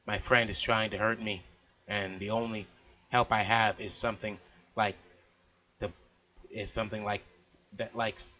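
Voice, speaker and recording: A man's voice: on the low side, little pitch movement, measured pace, long pauses, bright, forceful tone, highs cut off. A man hosting a let's play, reading the game's narration aloud and dropping in casual, joking asides. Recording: game music behind the voice. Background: game music.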